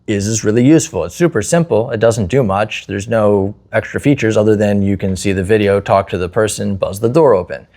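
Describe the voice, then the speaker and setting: A man's voice: fairly low pitch, a little fast, hardly any pauses, warm tone. A man talking casually and explaining his opinions as a host, often walking through hypothetical examples. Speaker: host, security installer.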